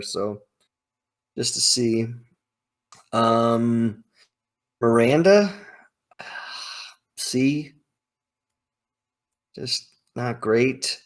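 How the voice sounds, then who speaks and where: A man hosting a video, thinking aloud in casual, opinionated commentary with emphatic, hyperbolic slang. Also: medium pitch. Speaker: video host ranking game characters.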